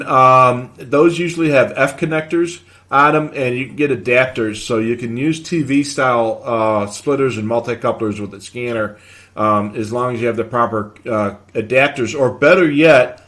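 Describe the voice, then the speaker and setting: A man with a mid-pitched voice hosts a casual live hobby show. He explains technical points in a conversational, halting way, as if working through questions from viewers. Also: a bit bright.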